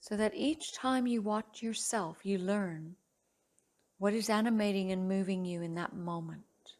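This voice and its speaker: A woman leading a guided relaxation meditation as a yoga teacher, speaking in a soothing, measured way with long pauses between phrases. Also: mid-range voice, darkish tone.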